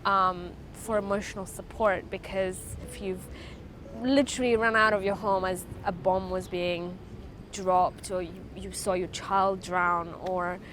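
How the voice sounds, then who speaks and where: A woman from Afghanistan whose first language is Farsi, giving earnest, halting interview answers about her life. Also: medium pitch, steady tone, a bit bright, slight background noise. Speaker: interviewee, refugee charity founder.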